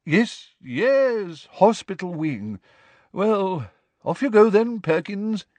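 A man's voice: high-pitched, sing-song, takes it slowly, a little mellow, no echo, pitched above the performer's own voice, full character voice. A man voicing a vague, bewildered male professor who speaks hazily in a storybook reading. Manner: hazy, hesitant.

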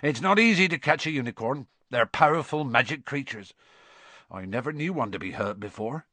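A man voicing a big, gruff, protective gamekeeper in a storybook, with blunt rustic grammar and a wary manner. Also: medium pitch, expressive, moderate pace, bright, forceful tone, no echo, pitched above the performer's own voice. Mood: reassuring, worried. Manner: dialect grammar, urgent warnings, blunt.

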